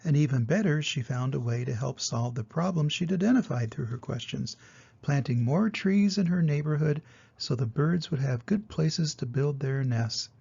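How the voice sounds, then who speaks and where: An older grandfather reads a picture book to little children in a gentle, explanatory way. His voice is husky and creaky, with a soft, mellow tone. The recording sounds slightly dull and muffled. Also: a little high, moderate pace. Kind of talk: children's storybook reading.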